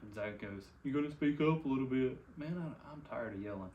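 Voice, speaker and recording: A quiet-voiced man co-hosting a podcast in casual chat, sitting back from his mic. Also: mid-range voice, expressive, a little fast, highs cut off. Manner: soft-spoken, quiet voice. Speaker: podcast co-host. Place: room at a high table. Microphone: desk mic a foot away. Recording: roomy, distant mic, faint hiss.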